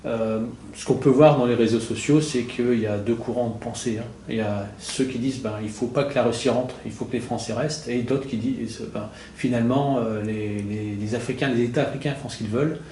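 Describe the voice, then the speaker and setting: A man's voice: mid-range voice, fairly even intonation, a little fast, hardly any pauses, warm tone, echoey room, a little hiss or hum. A man interviewing a guest, asking long questions hesitantly with many fillers.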